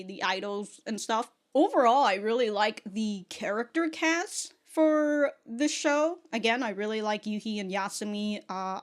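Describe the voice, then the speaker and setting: A woman giving a casual, unscripted review to viewers, giggly and rambling, often stammering through repeated false starts. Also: mid-range voice. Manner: giggly, often laughing as she talks. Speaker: anime reaction and review host.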